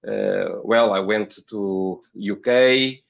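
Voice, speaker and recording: Halting Portuguese man with a creaky low voice. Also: fairly even intonation, dull audio. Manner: halting, hesitant, repeats words as he goes. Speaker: podcast guest, company founder. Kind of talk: interview answers.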